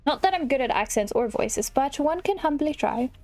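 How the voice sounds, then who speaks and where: A woman's voice: high voice, lively intonation, moderate pace, darkish tone. A woman doing casual, cutesy and self-deprecating let's play commentary as a gaming streamer. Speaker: gaming YouTuber.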